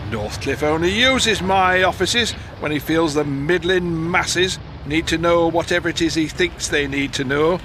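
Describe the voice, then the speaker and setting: An actor playing a blunt, canny 1910s newspaper editor with a Northern English accent, gruff and teasing, in an audio drama. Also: male voice, a little high, a little slow, few pauses, pushed and bright. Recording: noisy moving-car ambience behind.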